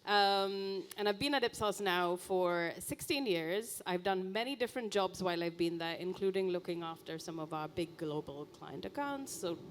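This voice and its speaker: A woman's voice: lowish voice, moderate pace, few pauses. A woman from India in her thirties with an Indian English accent, speaking wryly and with humor on a conference panel. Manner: wry, humorous, relaxed. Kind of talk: live panel discussion. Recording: faint noise, live event ambience.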